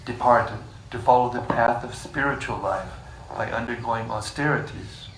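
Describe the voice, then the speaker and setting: A man leading a scripture class, reading passages in a measured way and joking with the group between them. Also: mid-range voice. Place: room with a live group. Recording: echoey room, distant mic, faint hiss.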